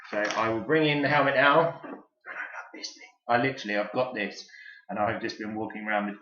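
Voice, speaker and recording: Male toy reviewer, lowish voice, chatty and enthusiastic, casual and rambling delivery; roomy, slightly muffled home-recorded sound. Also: fairly quick, sharp tone, a little hiss or hum. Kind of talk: casual hands-on product review. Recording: roomy echo, dull lo-fi sound.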